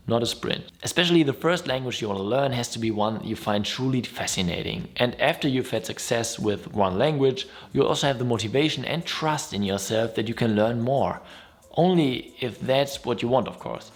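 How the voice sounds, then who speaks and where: A man with a German accent talks straight to his viewers. His voice sits in an ordinary middle pitch, and his delivery is friendly, upbeat and easygoing, like a casual explainer vlog. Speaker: YouTube host.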